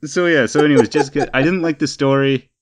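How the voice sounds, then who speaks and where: A man's voice: a little high, fast talker. A man co-hosting a casual pop-culture podcast, talking in an opinionated, emphatic and rambling way. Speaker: podcast co-host.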